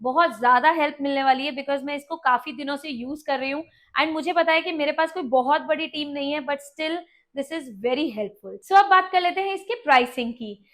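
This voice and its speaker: High-pitched woman explaining step by step. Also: normal speed, a bit bright. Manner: instructive, step-by-step, mixes in English terms. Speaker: video presenter. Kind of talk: explainer tutorial to camera.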